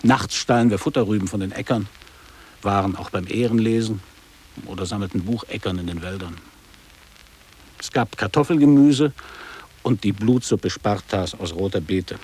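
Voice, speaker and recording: Low-voiced, nostalgic former pupil, reminiscing. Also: male voice, moderate pace, pauses often, a little mellow, slightly rough. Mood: wistful recollection. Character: nostalgic middle-aged former boarding-school pupil recalling hard postwar years. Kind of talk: literary German radio drama monologue.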